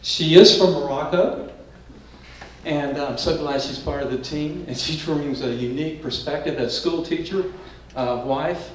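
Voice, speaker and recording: A man's voice: fairly high pitch, slight background noise. Older man hosting in an echoey hall. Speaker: event host. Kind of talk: introductory talk to an audience. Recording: echoey room, distant mic.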